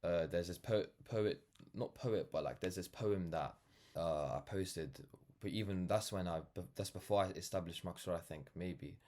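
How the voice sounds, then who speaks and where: A young man from London with a notably low voice. He talks off the cuff in a reflective, earnest monologue. His delivery rambles, leaning on "like" and "you know" as he goes. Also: normal speed, hardly any pauses, darkish tone. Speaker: vlogger, brand founder. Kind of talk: casual reflective monologue to camera.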